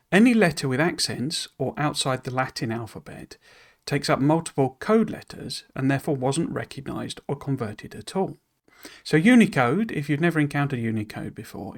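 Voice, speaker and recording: A man hosting a stream who reads a game developer's blog post aloud and then explains it casually. His asides are loose and hesitant, full of "you know" and restarts, and he is self-deprecating about pronouncing foreign words. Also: medium pitch.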